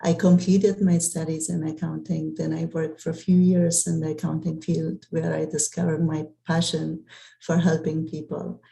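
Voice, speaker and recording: Low-voiced lady w gravelly edge, dark tone, calm intro on a video call. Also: normal speed, poor audio. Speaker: settlement counselor, guest. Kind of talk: prepared self-introduction. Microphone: video call mic.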